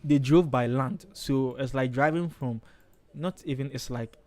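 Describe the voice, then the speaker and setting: A man's voice: medium pitch, measured pace, a little mellow. Ghanaian male host, casual thinking-aloud delivery, mid-range voice, typing audible in background. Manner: chatty, thinks aloud, frequent okays. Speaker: livestream host, designer. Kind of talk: casual live design tutorial.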